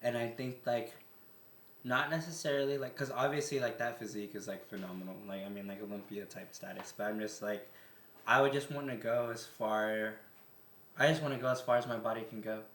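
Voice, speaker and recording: A man's voice: mid-range voice, fairly even intonation, a little fast, a bit bright, reverberant, a little hiss or hum. A man in his twenties co-hosting a casual podcast, rambling with lots of filler words and laughing often. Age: 20s. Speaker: podcast co-host.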